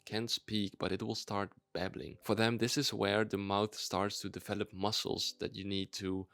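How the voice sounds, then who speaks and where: A man's voice: lowish voice, little pitch movement, normal speed, fairly bright tone, no room sound. A young Dutch man, a native Dutch speaker, talking in a chatty, enthusiastic explainer vlog with ums and restarts. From Netherlands. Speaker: YouTuber.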